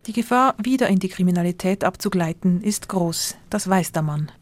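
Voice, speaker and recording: Calm woman reporter narrating. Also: fairly low pitch, dry recording. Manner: measured, descriptive. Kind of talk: scripted news narration.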